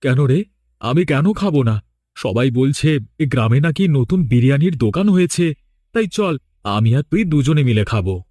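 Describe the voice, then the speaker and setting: A man plays a village father in a horror cartoon. He is protective, suspicious and dramatically insistent, with a medium-pitched voice recorded very dry. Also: lively intonation, normal speed, warm tone, no room sound, close to an everyday voice.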